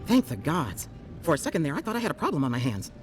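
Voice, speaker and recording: An actress playing a brisk, caring female healer in a fantasy video game, inquisitive and expressive. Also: low-pitched, soft background music. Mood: relieved, curious.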